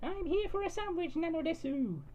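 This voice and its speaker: A performer voicing an eager, childish anime dog girl with a squeaky, shrill voice and quirky catchphrases. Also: lively intonation, moderate pace, a little mellow, muffled recording.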